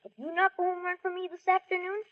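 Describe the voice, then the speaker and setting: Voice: very high-pitched, little pitch movement, quick pace. A woman playing an earnest, polite young boy who loves baseball, in 1940s radio acting style. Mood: hopeful, pleading. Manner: polite, wistful, pleading. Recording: muffled vintage radio recording.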